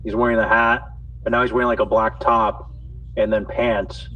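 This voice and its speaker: A man's voice: on the low side, little pitch movement, moderate pace, recorded from a distance. A man in a live audio chat, talking methodically through the details he sees. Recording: dull audio from off mic with faint noise.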